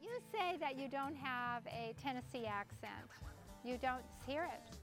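A woman with a high voice hosts a friendly interview, prompting her guest for stories and showering her with praise. Faint music runs underneath. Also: lively intonation. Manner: effusive, quick to praise and laugh. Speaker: interviewer host. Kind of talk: warm enthusiastic interviewing.

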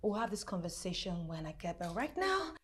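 A woman's voice: on the low side, very animated, fairly quick, fairly bright tone. A woman playing a defiant young Nigerian wife who is loyal to her girlfriends, in a screen drama. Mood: firm, defiant.

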